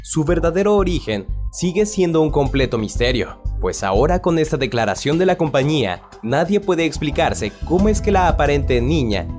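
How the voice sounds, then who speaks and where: Guy narrating mysterious script, very expressive, loud-ish music under it. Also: a little high, moderate pace, few pauses.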